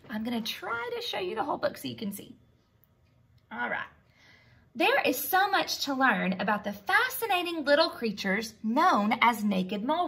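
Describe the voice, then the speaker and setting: A woman teaching a read-aloud lesson to young children. Her voice is bright and fairly high, with very lively pitch. She speaks slowly and expressively in simple words, often checking in with questions. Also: creaky, slightly rough.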